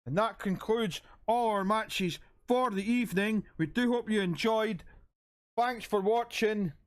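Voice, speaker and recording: A man with a hoarse voice commentating a video game wrestling match and hosting his channel, with an announcer's style. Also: a little slow. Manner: hype announcer, booming when calling the finish.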